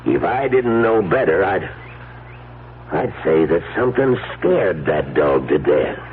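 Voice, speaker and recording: A man's voice: low voice, expressive, unhurried. An actor playing a stubborn, skeptical small-town policeman with a folksy rustic drawl in a 1970s radio drama. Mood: sober. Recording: tinny, faintly noisy old radio broadcast.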